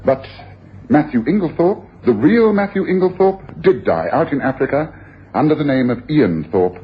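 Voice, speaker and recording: A commanding, animated radio-drama detective. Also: male voice, fairly high pitch, normal speed, breathy edge. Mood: triumphant, incisive. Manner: theatrical, incisive, domineering. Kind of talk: 1980s radio drama acting. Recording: dull, noisy old radio broadcast.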